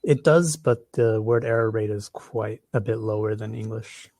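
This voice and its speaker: A man's voice: lowish voice, moderate pace, darkish tone, no room sound. American guy fielding q&a at a conference, lots of ums, dry close sound. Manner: hesitant, lots of ums and restarts. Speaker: conference presenter. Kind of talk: conference Q&A answers.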